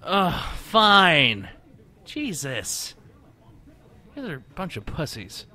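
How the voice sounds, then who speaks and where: Catty young man, very bright and animated acted. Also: on the high side, slow pace, exaggerated voice. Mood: mocking, petulant. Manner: catty, theatrical. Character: catty, mocking young man, dramatic and crude. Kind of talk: podcast audio drama acting.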